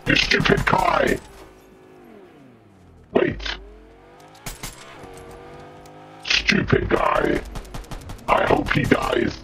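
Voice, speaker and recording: Guttural gaming demon, bloodthirsty, gleeful, theatrical, very slow delivery, heavy fry, noisy muffled recording. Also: male voice, high voice, a bit bright, full character voice. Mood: scornful. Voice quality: guttural, growling, gravelly. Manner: slow, theatrical, drawn-out phrases with evil laughs. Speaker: gamer. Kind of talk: video game commentary to squadmates. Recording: muffled lo-fi audio with clear noise.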